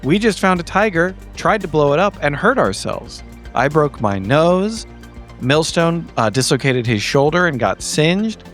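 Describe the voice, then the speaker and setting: A man's voice: on the high side, sing-song, measured pace, fairly bright tone. Wry, wordy man over background music. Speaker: tabletop game player. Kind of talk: casual comedic recap of a game session. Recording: music under the voice.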